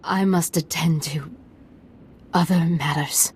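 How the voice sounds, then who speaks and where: A woman's voice: low-pitched, steady tone, takes it slowly, a little mellow, slight background noise. A woman playing a proud, weary alien matriarch in a video game, middle-aged, ailing, haughty and solemn.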